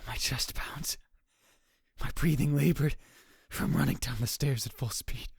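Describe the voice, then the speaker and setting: Man voicing a husky, shaken young student. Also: mid-range voice, steady tone, measured pace, no echo, clean studio sound, exaggerated voice. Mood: tense. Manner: tense inner monologue, dropping to whispers. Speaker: narrator and lead voice in a fan dub. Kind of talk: first-person visual novel narration.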